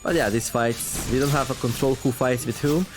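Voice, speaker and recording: A man commentating a tactics game as he plays it, reading the story text aloud. He rambles, corrects himself often and adds wry asides, and his voice has gone a little raspy after a long day of recording. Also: mid-range voice, fairly even intonation, normal speed, over music, low-quality recording. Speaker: gameplay commentator.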